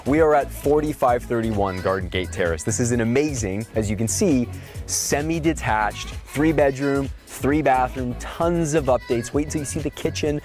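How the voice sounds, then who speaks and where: A man with a slightly hoarse voice, a real estate agent talking quickly and energetically outdoors, switching between casual explanation and an upbeat sales pitch. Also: darkish tone, soft background music. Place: outdoors at a house.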